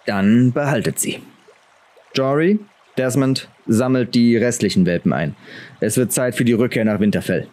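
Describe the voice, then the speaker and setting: A man voicing a stern, grave middle-aged lord and father in a fantasy audiobook, measured and commanding. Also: mid-range voice, a little mellow, lightly acted. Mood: resigned command.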